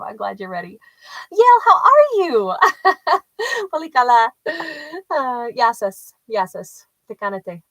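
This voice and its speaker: A woman's voice: on the high side, bright, forceful tone, dry recording, poor audio. A chatty woman tarot storyteller who talks casually with live viewers and laughs often. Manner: rambling with frequent restarts and fillers. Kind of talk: casual livestream reading and chat with viewers.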